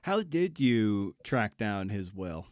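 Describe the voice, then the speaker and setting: A man's voice: lowish voice, sing-song, slow pace, dry recording, studio quality. A North American man hosting a casual podcast interview, informal and a little rambling, repeating words as he goes. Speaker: podcast host.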